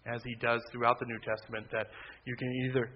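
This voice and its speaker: A man preaching a sermon in a loud, conversational way, with sudden emphatic bursts. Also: flat delivery, fast. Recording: slightly dull low-fi sound with faint background noise.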